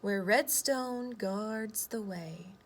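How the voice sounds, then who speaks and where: A woman voicing the Earth as a wise, guiding storybook spirit, speaking emphatically with drawn-out phrase endings. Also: mid-range voice. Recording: clear background noise.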